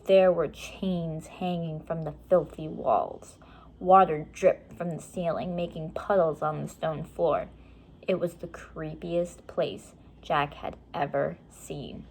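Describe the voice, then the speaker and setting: Slow-paced woman reading a children's story. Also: low-pitched, steady tone, darkish tone, slight background noise. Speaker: story reader. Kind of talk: children's chapter book read-aloud.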